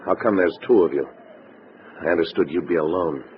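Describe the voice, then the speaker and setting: An actor playing a surly, suspicious American seller of secrets, gruff and slangy, in a 1950s radio crime drama. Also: male voice, sits low, a little fast, lightly acted. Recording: muffled noisy old radio recording.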